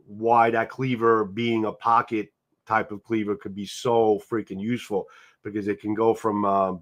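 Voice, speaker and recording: A man with a New York Italian-American accent hosting a casual livestream, rambling through viewer comments with repeated words and casual swearing. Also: fairly low pitch. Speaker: livestream host. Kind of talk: casual live chat reading comments. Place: home, seated in a chair.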